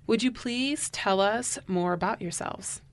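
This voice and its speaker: A woman's voice: medium pitch, measured pace. A woman interviewing guests on a community radio show with polite, brief prompts.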